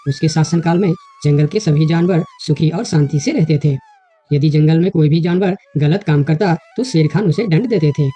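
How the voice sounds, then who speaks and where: A woman's voice: sits low, mellow. A woman giving scripted narration of a children's animal story, with music under her voice.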